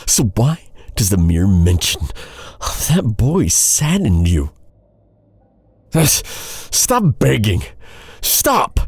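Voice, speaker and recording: An actor playing an embittered, vengeful knight in a dark fantasy audio roleplay, brooding and intense, with halting dramatic delivery. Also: male voice, mid-range voice, dry recording. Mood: anguished, accusing. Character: vengeful, embittered male knight interrogating his captive enemy.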